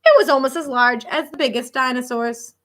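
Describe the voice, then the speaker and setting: Bright, high-pitched woman reading to children. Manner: expressive, child-directed, pausing to react and explain. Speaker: elementary school teacher reading a picture book. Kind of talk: read-aloud of a children's nonfiction book with casual asides.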